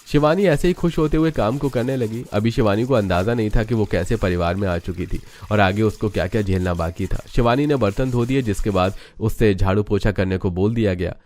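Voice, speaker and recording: A man's voice: on the low side, fairly quick, few pauses, darkish tone. A man narrating a scripted family drama story as its dramatic storyteller.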